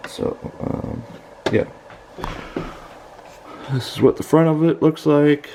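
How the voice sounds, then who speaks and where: A man's voice: on the high side, takes it slowly. An American man giving a casual product demonstration in a hesitant, understated, matter-of-fact way. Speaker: product reviewer. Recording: faint background noise.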